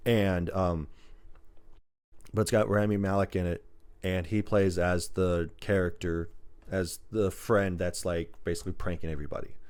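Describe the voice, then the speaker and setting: A man with a low voice talks casually, like someone co-hosting a podcast. He explains things in a loose, rambling way, stringing his thoughts together as he goes. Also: flat delivery, normal speed, darkish tone, clean tone. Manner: rambling, filler-heavy, loosely strung explanations. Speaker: podcast co-host. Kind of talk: casual chat about video game movies.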